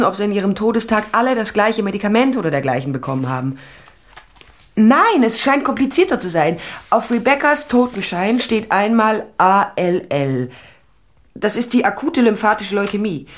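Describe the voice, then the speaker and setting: A woman's voice: mid-range voice, lightly acted. An actress playing a determined, probing female private detective and ex-policewoman in a German radio crime drama. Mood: probing, concerned. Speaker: lead actor and first-person narrator.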